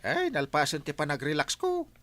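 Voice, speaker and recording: A man's voice: on the high side, wildly swooping pitch, normal speed, sharp tone, exaggerated voice. A man playing a dutiful, curious village captain with an Ilocano accent and a questioning manner in an audio drama.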